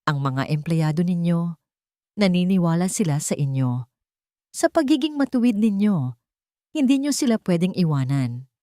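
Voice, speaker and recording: A woman's voice: fairly low pitch, lively intonation, moderate pace, long pauses, mellow, no echo, clean studio sound, close to an everyday voice. A woman voicing a loyal, caring and resolute heroine in an audio storybook drama, earnest and pleading.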